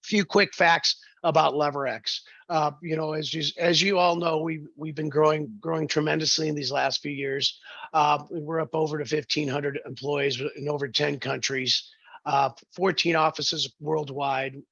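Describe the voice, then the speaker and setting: A man with a hoarse, froggy voice hosting a business webinar, halting with many fillers and restarts. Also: on the high side, steady tone, a bit bright, no room sound, highs cut off. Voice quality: gravelly. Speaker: webinar host, sales director. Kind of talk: webinar presentation.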